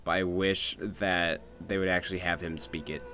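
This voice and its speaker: Male game streamer. Casual, musing commentary, lowish voice, light background music. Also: fairly even intonation, normal speed, bright, forceful tone. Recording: music behind the voice.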